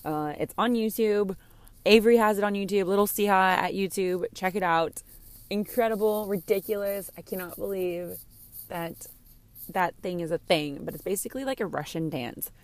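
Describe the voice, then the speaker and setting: A slightly hoarse woman chatting candidly and casually as a podcast host, rambling and gushing, easily distracted. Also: mid-range voice, normal speed. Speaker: podcast host and game streamer. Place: inside a parked car.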